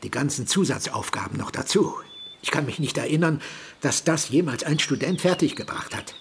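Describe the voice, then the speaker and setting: Expressive paternal professor. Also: male voice, fairly high pitch, moderate pace, a little hiss or hum. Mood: proud. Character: older male professor, paternal but evasive and uneasy. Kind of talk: German radio drama acting.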